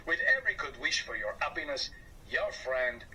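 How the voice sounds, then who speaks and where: A man's voice: a little high, very animated, normal speed, bright, forceful tone, heavily acted. A man voicing a pompous middle-aged male factory boss who speaks grandly and formally and drops in French words, heard on a distant mic with faint background noise. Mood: grateful.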